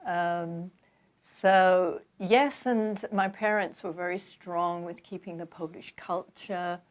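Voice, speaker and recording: An older woman from London with a London accent, calmly telling her life story as a guest in an interview. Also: lowish voice. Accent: London English. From London, England. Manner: measured, reflective storytelling. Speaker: guest author. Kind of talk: conversational interview.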